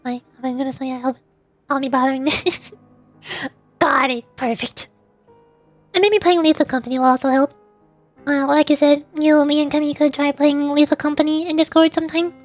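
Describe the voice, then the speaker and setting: A woman with a high, light voice chats casually with her stream viewers. She talks softly and pauses to think before she answers. Faint music plays under her voice. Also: fairly even intonation.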